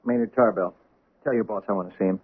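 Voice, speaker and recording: An actor playing a nervous, awkward husband who stammers and hesitates. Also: male voice, on the low side, fast, slightly rough. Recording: tinny old radio broadcast.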